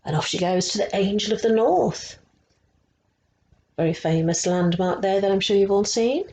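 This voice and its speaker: A woman's voice: mid-range voice, normal speed, dark tone. A woman storyteller reading a picture book aloud to children, adding explanatory asides and putting questions to her young listeners. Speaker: resident story teller.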